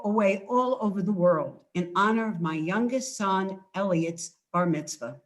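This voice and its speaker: An older woman, an author, giving a prepared presentation as guest speaker. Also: medium pitch.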